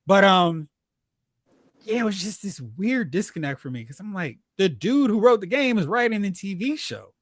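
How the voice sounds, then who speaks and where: A host chatting casually about pop culture, retelling reactions in slangy, animated asides. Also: medium pitch, normal speed, no room sound, clean studio sound. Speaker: host of a film and TV discussion show.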